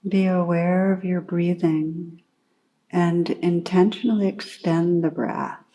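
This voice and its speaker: A woman meditation teacher leading a guided meditation in a calm, unhurried way, gently instructing with long pauses. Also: on the low side, rough recording.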